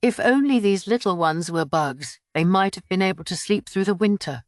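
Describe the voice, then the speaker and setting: A woman voicing a playful young woman who teases softly while masking sadness and resolve, in a novel reading. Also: mid-range voice, expressive, normal speed, no echo, clean studio sound, close to an everyday voice. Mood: wistful.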